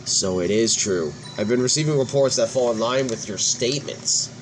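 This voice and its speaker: A man's voice: medium pitch, normal speed, a little hiss or hum, poor audio. A man hosting a let's play, reading game dialogue aloud with casual commentary and stumbling over words now and then. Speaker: host of a let's play channel. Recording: music playing behind the voice.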